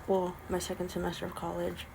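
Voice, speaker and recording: A woman's voice: on the low side, monotone, fairly quick, gravelly, background noise. A young woman with a slight lisp ranting casually, rambling with many ums and sounding exasperated. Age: young adult. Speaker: vlogger. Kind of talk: casual spoken rant.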